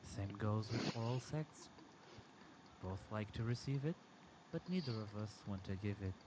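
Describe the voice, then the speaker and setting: A man's voice: on the low side, animated, moderate pace. A man whose native language is Portuguese reads a story aloud in an informal, amateur way. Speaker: reader of a story. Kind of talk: amateur story reading with asides to friends. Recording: clearly noisy amateur recording.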